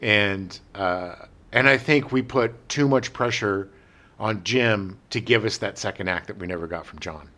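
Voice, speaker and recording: A man's voice: fairly low pitch, measured pace, sharp tone. A man co-hosting a podcast, talking casually about old movies in a rambling, self-correcting way. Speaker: podcast co-host.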